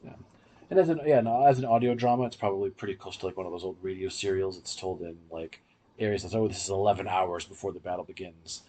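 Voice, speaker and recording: A man's voice: low-pitched, fast talker, dark tone. A man hosting a podcast, giving an explanatory, opinionated review in casual discussion. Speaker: podcast host.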